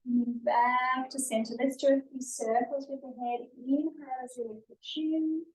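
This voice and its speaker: A woman yoga instructor leading a guided stretching and breathing class in a gentle, soothing, unhurried manner, recorded live in a studio. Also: high-pitched, fairly even intonation. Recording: echoey room, distant mic.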